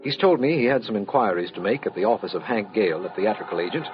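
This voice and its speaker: An actor playing a formal, measured district attorney who narrates an old-time radio mystery. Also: male voice, lowish voice, animated, lightly acted. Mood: sober, official. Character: sober, official male district attorney recapping a case. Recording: muffled vintage radio recording. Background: music.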